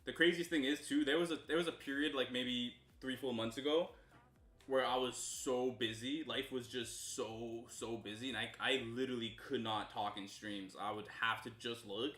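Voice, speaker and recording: A young man from Canada, streaming live and chatting loosely with viewers, jumping between low mutters and loud outbursts. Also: steady tone, normal speed, echoey room. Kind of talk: casual stream chat with viewers.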